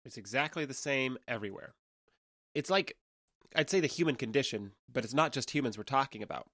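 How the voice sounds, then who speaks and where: A man's voice: mid-range voice, animated, fairly quick, fairly bright tone, no echo, clean studio sound. A man playing a young alien trader who passes as human in an audio drama, talking in a conversational, earnest and slightly hesitant way. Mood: wry, frustrated. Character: awkward, candid young male alien trader passing as human.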